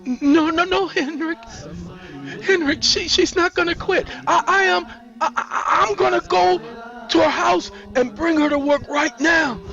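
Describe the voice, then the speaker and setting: Man putting on a high, frantic pleading woman. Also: big pitch swings, slow, long pauses, a bit bright, recorded from a distance, full character voice. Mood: frightened, pleading. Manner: urgent, pleading, insistent. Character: frightened, desperate, pleading woman in danger. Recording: dull lo-fi recording with music.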